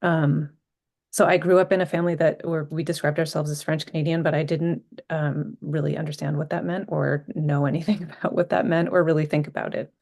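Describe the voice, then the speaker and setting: Gravelly, halting woman panelist. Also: low-pitched, little pitch movement, a little mellow. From Vermont. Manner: reflective, halting with many ums and restarts. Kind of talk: personal account on a panel.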